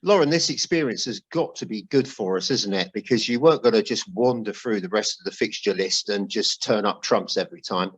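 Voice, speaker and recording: Low-pitched man hosting casual football chat. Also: brisk, hardly any pauses, husky. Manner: poses questions to co-hosts. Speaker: podcast host.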